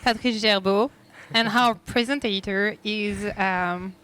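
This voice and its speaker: A woman's voice: mid-range voice, slow pace, fairly bright tone, smooth. A woman interpreting live, relaying what someone else has said. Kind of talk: live spoken translation.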